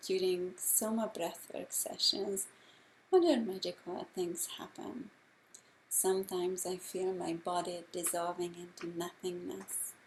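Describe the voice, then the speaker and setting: A middle-aged woman from Hungary with a Hungarian accent, a breathwork instructor telling her story in a gentle, measured way. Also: fairly low pitch, a little hiss or hum, rough recording. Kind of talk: slow guided talk.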